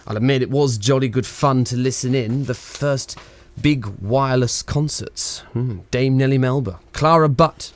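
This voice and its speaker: A man's voice: mid-range voice, moderate pace, hardly any pauses, close to an everyday voice. Wry wireless engineer, acted by a man. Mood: wry, amused. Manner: wry, rambling, exasperated, theatrically flippant. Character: witty, sardonic, reluctant male wireless engineer of the 1920s. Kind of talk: radio play acting.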